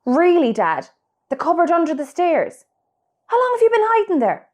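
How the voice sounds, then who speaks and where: An actress playing a weary, patient grown-up Irish daughter in a radio play, with a polite, gentle manner. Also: female voice, extremely high pitch, wildly swooping pitch, moderate pace, heavily acted. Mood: caring, weary.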